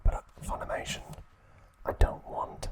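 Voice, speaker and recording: A man hosting an ASMR video, speaking in a breathy, hushed whisper with deliberate, separated phrases, close to the microphone. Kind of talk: ASMR, whispered trivia and show-and-tell.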